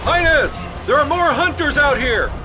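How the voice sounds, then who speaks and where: A man plays a rough-spoken soldier reporting to his leader with urgency. His voice is high and swings widely in pitch. Music plays clearly under the blunt delivery. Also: expressive, moderate pace, crowd noise. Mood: urgent. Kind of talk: fan audio drama acting.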